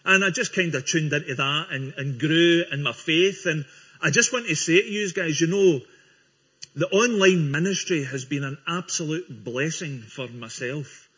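A man's voice: fairly high pitch, moderate pace, pushed and bright, husky. Earnest Scottish man giving testimony. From Scotland. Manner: earnest, friendly, with small restarts. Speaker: guest speaker giving testimony. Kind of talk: church testimony. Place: church before a congregation. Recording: dull lo-fi recording.

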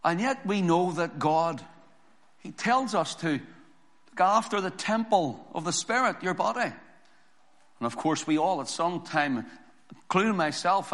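A hoarse man preaching a sermon, reading scripture slowly and building to emphatic preaching. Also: on the high side, fairly bright tone. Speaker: pastor.

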